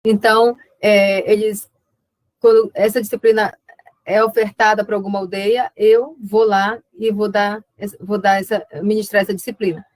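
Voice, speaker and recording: A woman's voice: mid-range voice, level tone, normal speed, no room sound, rough recording. A woman with a northern Brazilian accent talking conversationally as a guest in a web conference, reflective and often repeating words as she searches for the next one. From Amazonas, Brazil (Alto Solimões).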